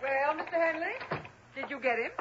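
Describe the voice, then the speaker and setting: An actress playing an imperious, melodramatic middle-aged wife who is quick to take offence, in a 1960s radio drama. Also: female voice, high voice, expressive, noisy background. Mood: bossy, demanding. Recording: dull, lo-fi old radio recording.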